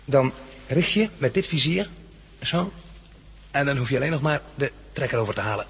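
A man's voice: medium pitch, animated, normal speed, creaky. A man acting a practical, decisive survivor of a catastrophe in a 1960s Dutch radio drama, explaining things in an instructive way. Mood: brisk, instructive. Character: practical, knowledgeable male survivor of a plant catastrophe. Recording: noisy 1960s radio broadcast recording.

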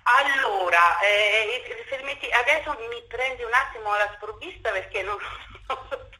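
A woman's voice: a little high, moderate pace, bright, forceful tone, poor audio. A talkative woman giving a spontaneous interview over the telephone, emphatic and rambling, tagging her points with little questions. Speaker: theatre director, interview guest.

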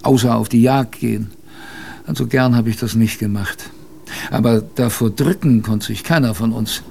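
A man playing a plainspoken expedition survivor, telling his story in a wry, colloquial, gravelly radio drama narration. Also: mid-range voice, normal speed, a little mellow, a little hiss or hum, natural voice. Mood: wry, reflective. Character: plainspoken male polar expedition survivor recalling hardship, wry.

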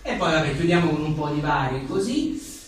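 A man's voice: fairly high pitch, animated, brisk. A man, talking colloquially and irreverently as he presents his writing live. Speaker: author presenting his book. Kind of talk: reading short jokes and chatting informally at a live book presentation. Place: live event venue with an audience. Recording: echoey room, distant mic, faint noise.

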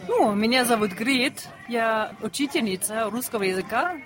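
A woman's voice: a little high, animated, normal speed, crowd noise. A woman from eastern Germany, a native German speaker with a German accent, giving a short radio greeting as a language teacher. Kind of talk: short spoken station greeting. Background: music.

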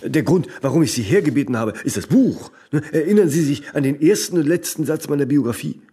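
An actor playing a famous older workaholic in a radio drama, vain and stubborn, given to philosophical pronouncements that end in little tag questions. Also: male voice, fairly high pitch, lively intonation, brisk, dark tone, lightly acted. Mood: self-assured. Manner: rambling pronouncements, frequent tag "ne?".

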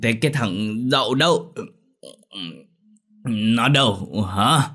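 A man's voice: mid-range voice, slow, pushed and bright, voice pushed up. A man voicing a drunken older village father who slurs and bellows threats. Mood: menacing drunk. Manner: slurred, bellowing calls.

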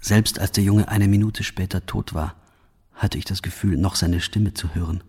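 An actor playing a guilt-ridden, exhausted soldier of a firing squad in a radio play, with a husky voice and grave, halting, restrained delivery. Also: male voice, low voice, fairly even intonation, a little mellow. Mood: disgusted, shaken. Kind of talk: scripted first-person radio play monologue.

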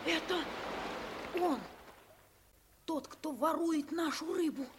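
A woman's voice: sits high, slow pace, noisy background. A woman voicing a brave, determined young boy who leads his friend, in a 1950s radio play. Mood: eager. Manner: eager, commanding, lively.

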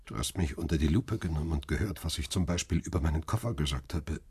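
A man's voice: very deep voice, fast talker, a little mellow, breathy edge, no room sound, clean studio sound. A man narrating a crime radio play in the first person and playing its brooding lead.

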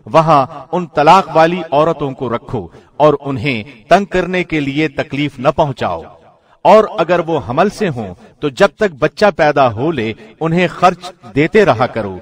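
A man reading a scripture translation from a script, with measured, formal diction like a radio presenter. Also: a little high, animated. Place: studio.

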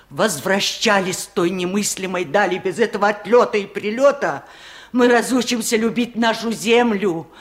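An older actress in a Soviet radio play, playing a wise, stubborn old village aunt with a wry, chiding manner. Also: female voice, mid-range voice, natural voice. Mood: fervent.

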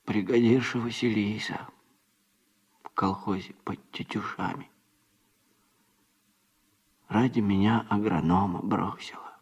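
An actor playing a footloose, self-satisfied womanizer and odd-job man in a Soviet radio drama, speaking colloquially in a husky voice. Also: on the low side, steady tone, takes it slowly, long pauses, muffled recording, heavily acted. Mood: grumbling, self-pitying.

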